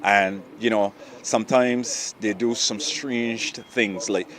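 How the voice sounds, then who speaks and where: A man's voice: lowish voice, steady tone, slow, fairly bright tone. A man, a fire service officer, giving casual, explanatory comments outdoors. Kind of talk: interview comments to reporters.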